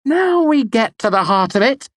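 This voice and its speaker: A man voicing a proud, sharp-tongued young woman bard in an audiobook, her lines scornful and heated. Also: very high-pitched, very animated, moderate pace, no room sound, clean studio sound, pitched above the performer's own voice. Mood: furious.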